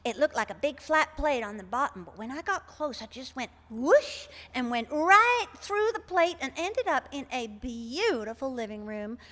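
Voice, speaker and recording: Folksy older lady caller, played by an actress, high voice, sweet and rambling, live radio comedy in a reverberant hall. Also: very animated, moderate pace, few pauses, fairly bright tone. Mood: delighted, wondering. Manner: rambling, homespun storytelling. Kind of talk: comic live radio theater acting.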